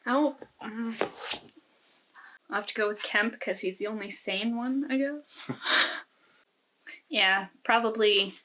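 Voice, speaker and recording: A woman's voice: fairly high pitch, slow, pauses often, sharp tone, slight background noise, poor audio. A woman co-hosting a casual, conversational discussion about books. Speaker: podcast co-host.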